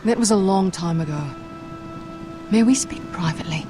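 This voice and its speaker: An actress playing a compassionate young noblewoman in a fantasy game drama, speaking in a measured, careful, gentle way. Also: mid-range voice, natural voice. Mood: regretful. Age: young adult. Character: earnest, compassionate young noblewoman from a rival house. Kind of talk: dramatic video game acting. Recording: music behind the voice.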